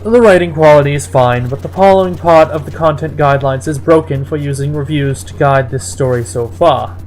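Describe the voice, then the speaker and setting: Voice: mid-range voice, fairly quick, darkish tone, slight background noise. A person reading fan fiction and its reviews aloud in a mocking dramatic style, breaking off into exasperated asides.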